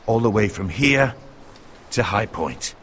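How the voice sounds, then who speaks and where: An earnest counsellor in a video game drama. The speech is formal and principled, with lively, wide-ranging pitch and a faint noise behind it. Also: male voice, slow. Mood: grave, concerned. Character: earnest, principled male counsellor to a young lord.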